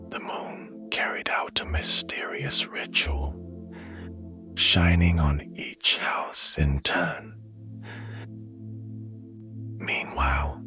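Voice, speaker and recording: A man narrating a bedtime story in a hushed, gentle and soothing manner, taking his time. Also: a bass voice. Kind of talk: slow, whispered bedtime storytelling. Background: music.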